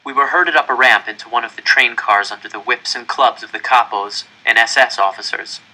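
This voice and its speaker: A man reading a first-person novel aloud as a dramatic, deliberate and expressive narrator. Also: mid-range voice, low-quality recording. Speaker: audiobook-style reader.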